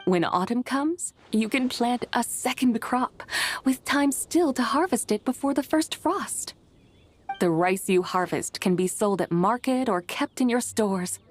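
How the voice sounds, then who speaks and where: A woman's voice: fairly high pitch, animated, measured pace, faint music behind. A woman playing a proud, stern female immortal who lectures in lofty, archaic speech in a video game. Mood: lofty, instructive. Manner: haughty, archaic, lecturing diction. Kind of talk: game voice acting.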